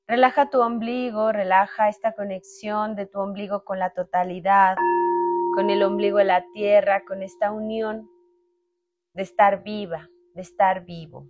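A woman guides a meditation at a very slow pace. She speaks calmly in short phrases, leaving long pauses between them. Soft music with sustained tones plays behind her medium-pitched voice. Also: lo-fi sound.

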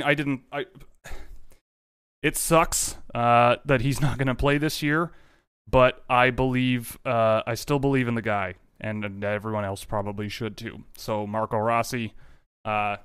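A man's voice: medium pitch, a bit bright, clean tone, no echo, studio quality. Rambling young man hosting a hockey stream. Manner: rambling, many fillers and restarts. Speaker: hockey prospect livestream host. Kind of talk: casual livestream chat.

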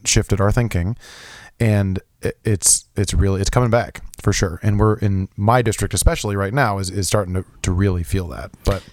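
A young man co-hosting a casual podcast chat, his voice low and a little hoarse from being sick, rambling with lots of restarts and fillers. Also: normal speed, a little mellow, dry recording. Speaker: podcast co-host.

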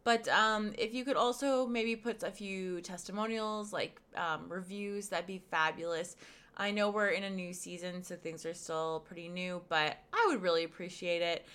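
Bright-voiced woman losing her voice. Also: on the low side, steady tone, normal speed, clear, resonant tone. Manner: chatty, frank, many fillers. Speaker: podcast host and pleasure coach. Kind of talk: casual podcast monologue.

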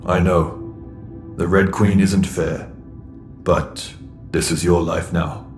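An actor voicing a grave, law-abiding alien with a protective streak, speaking in terse, measured lines in a sci-fi audio drama. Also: male voice, deep voice, little pitch movement, darkish tone, faint music behind. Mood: solemn, sympathetic. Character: Throg, grave lawful alien Ferran, secretly protective.

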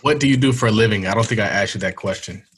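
A man's voice: on the low side, rapid-fire, fairly bright tone, slight background noise. A man interviewing a guest in a laid-back way, asking short questions. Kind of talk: casual interview.

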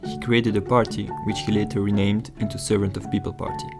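A man's voice: lowish voice, little pitch movement, brisk, loud music behind the voice. A man co-hosting a podcast, reading scripted narration. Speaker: podcast co-host.

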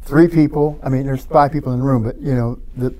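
A man as a podcast guest, talking thoughtfully in a halting way, restarting his sentences often. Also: medium pitch, fairly even intonation, darkish tone. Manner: reflective, halting, many restarts and fillers. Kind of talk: casual podcast conversation.

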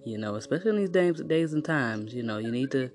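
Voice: mid-range voice, lively intonation, quick pace, a little mellow, faint music behind. A guest from Illinois in casual conversation, speaking in an encouraging, affirming way. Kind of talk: casual chat.

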